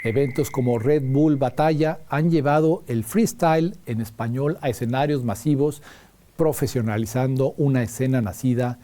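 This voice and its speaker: A man reading news headlines in a crisp scripted delivery. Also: medium pitch, moderate pace, mellow, a little hiss or hum. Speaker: news anchor.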